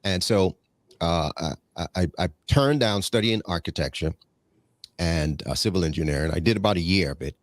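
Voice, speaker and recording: A man with a very deep, low voice talks through his thoughts as an interview guest. He rambles, restarts often and leans on fillers, and now and then drifts into a preacherly tone. The sound is close and dry, with no room around it. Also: animated, moderate pace, no room sound. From Bahamas. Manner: preacherly, cites scripture. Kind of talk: casual conversational interview.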